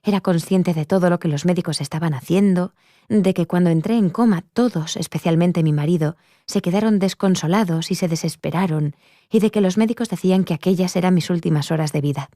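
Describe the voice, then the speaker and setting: A woman's voice: on the low side, fairly quick, dark tone, no room sound, studio quality, lightly acted. A woman voicing an earnest, reflective woman giving a personal testimony in a dubbed interview. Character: earnest adult woman recounting her near-death experience.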